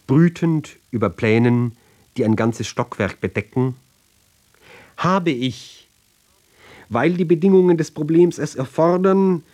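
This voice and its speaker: Guy reading poetry on the radio, really slow and sober, mid pitch, careful pauses between phrases. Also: darkish tone. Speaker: reader of literary ballads. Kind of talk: measured literary radio reading.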